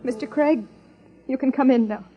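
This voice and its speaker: An actress playing a poised, pleading wife, earnest and formal, in 1950s radio drama style. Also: female voice, sits high, moderate pace, background noise, natural voice. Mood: emotional. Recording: muffled 1950s radio recording.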